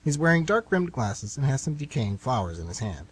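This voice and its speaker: Male narrator, husky voice, lively intonation, mid pitch, scripted radio theatre. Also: a little fast. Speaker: narrator and announcer.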